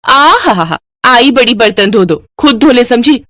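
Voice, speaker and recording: A woman's voice: on the high side, expressive, a little slow, no room sound, voice pushed up. Lady doing bossy fed-up elder sister-in-law, sarcastic nagging, storybook drama, close dry recording. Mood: mocking. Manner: sharp-tongued, scolding, sarcastic.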